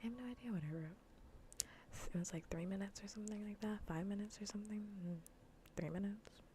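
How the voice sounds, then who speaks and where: A woman streaming in a soft, breathy, often whispered ASMR voice, chatting informally and playfully close to the mic. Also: mid-range voice, fast, slight background noise. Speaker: streamer hosting a live cozy gaming broadcast. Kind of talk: soft-spoken ASMR casual chat. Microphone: close ASMR microphone.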